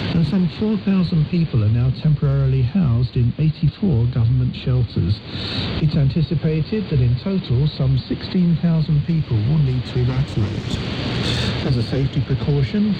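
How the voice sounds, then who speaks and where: A man reading a scripted news report as a radio reporter. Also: on the high side. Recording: dull, noisy shortwave radio recording.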